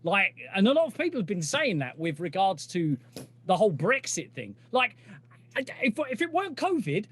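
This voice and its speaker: A man's voice: high-pitched, huge pitch swings, normal speed, fairly bright tone, husky. A man co-hosting a podcast in casual, jokey chat, swearing often. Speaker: podcast co-host.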